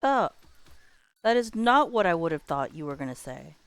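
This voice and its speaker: A woman livestreamer chatting casually with her viewers in a playful, expressive way. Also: lowish voice, normal speed, clean tone, dry recording.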